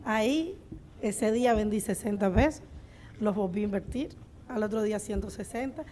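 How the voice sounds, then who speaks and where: A woman's voice: medium pitch, moderate pace, dark tone, slight background noise. A woman with a Cuban accent speaking unscripted as a restaurant owner on a panel of entrepreneurs. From Cuba. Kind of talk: unscripted panel storytelling and answers.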